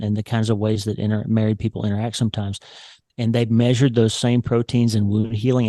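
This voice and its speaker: A man's voice: on the low side, dark tone, no room sound. A man, a surgeon explaining research studies at length in a video-call interview from a home office. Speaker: neurosurgeon guest. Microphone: large studio mic on boom arm.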